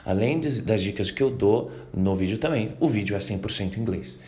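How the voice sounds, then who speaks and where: Animated Brazilian man teaching English. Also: on the low side, a little hiss or hum. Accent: Brazilian Portuguese. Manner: animated, acts out learners' reactions, reads English lines slowly. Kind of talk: casual video lesson.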